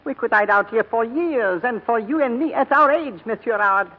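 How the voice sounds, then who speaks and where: A man's voice: very high-pitched, moderate pace. An actor playing an ingratiating, cowardly old Frenchman with a fawning manner in a 1940s radio drama. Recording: dull vintage radio recording.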